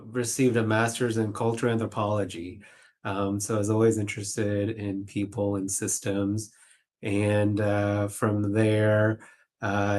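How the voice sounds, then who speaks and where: Slow-paced man, reflective and hesitant. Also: lowish voice. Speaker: guest clinical social worker. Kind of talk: conversational interview answers.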